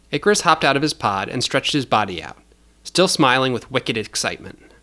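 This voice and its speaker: A man's voice: medium pitch, sharp tone. A man narrating scripted audio fiction as the storyteller.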